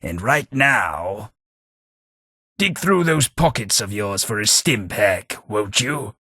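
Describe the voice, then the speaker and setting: A man's voice: on the low side, lively intonation, takes it slowly, bright, forceful tone, breathy edge, dry recording, studio quality, full character voice. An actor with an English accent playing a polite, dryly witty undead male clerk in a game, in refined speech. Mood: weary. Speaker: companion character. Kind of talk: game voice lines.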